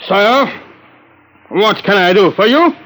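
Flustered old professor, noisy radio recording. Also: male voice, sits high, lively intonation, slow pace. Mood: nervous, defensive. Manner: hesitant, fussy. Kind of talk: old-time radio drama acting.

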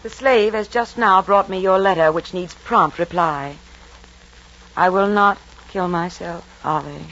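A woman's voice: lowish voice, a little slow, fairly bright tone. A woman playing a proud, wary Roman mother in an old-time radio drama, with stately, formal theatrical diction. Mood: defiant. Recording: noisy, muffled old radio recording.

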